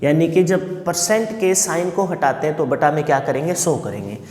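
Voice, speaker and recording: A man teaches a math class in a steady lesson voice, his throat a little hoarse. He keeps asking his students questions and answering them himself, repeating each key point. The sound bounces around a bare room and leaves a long echo behind every phrase. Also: fairly high pitch, normal speed. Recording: echoey room with long reverb.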